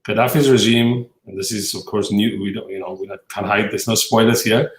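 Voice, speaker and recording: A male podcast host introducing a film and then questioning his guest, with a halting delivery full of fillers. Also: lowish voice, fairly even intonation, echoey room, rough recording.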